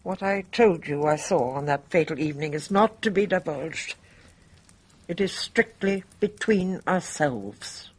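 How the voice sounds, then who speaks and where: A husky, dignified older Englishwoman. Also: on the low side, unhurried. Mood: firm. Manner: composed, formal, grave. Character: dignified older woman, resolute, guilt-burdened bridge player. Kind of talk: radio drama acting.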